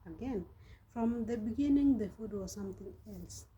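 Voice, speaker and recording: A woman's voice: mid-range voice, normal speed, warm tone. A young woman from Kenya chatting casually to her vlog viewers, repeating herself and laughing now and then while she sweats in the heat. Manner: chatty, repeats and restarts phrases, laughs often. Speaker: vlogger. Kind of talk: casual vlog talk to camera. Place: hot room in the Gulf.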